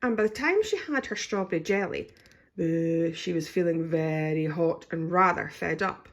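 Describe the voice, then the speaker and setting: A woman's voice: on the low side, moderate pace. A woman narrating a children's story expressively as a storybook reader.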